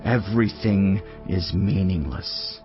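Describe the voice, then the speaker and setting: A man playing a wise teacher who reflects on life, reading dramatized scripture in a measured, solemn, poetic way. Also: low-pitched, expressive, full character voice. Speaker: voice actor in a dramatized audio Bible. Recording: dull-sounding studio track with music underneath. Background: music.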